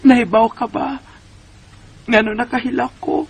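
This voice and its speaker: Radio drama single mom, female, Cebuano accent: high-pitched, husky, emotional, music underneath. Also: steady tone, a little slow, slight background noise. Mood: tearful, grateful. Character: emotional, devout single mother being courted. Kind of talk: Bisaya radio drama acting.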